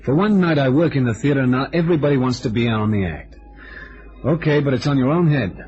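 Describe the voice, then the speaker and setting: An actor playing a wry, tough but affable amateur sleuth in an old-time radio drama, with streetwise, hesitant turns of phrase. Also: male voice, mid-range voice, fast, music in the background, natural voice. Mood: wry, brisk. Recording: dull, lo-fi vintage radio recording.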